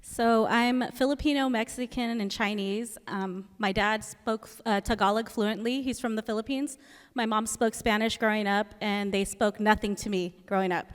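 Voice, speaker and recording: Smooth-voiced woman in her forties, dry wit. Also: medium pitch, steady tone, normal speed. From California. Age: 40s. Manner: dry, matter-of-fact humor. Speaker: PE teacher. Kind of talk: answering interview questions on stage. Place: school assembly hall. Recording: long hall reverb.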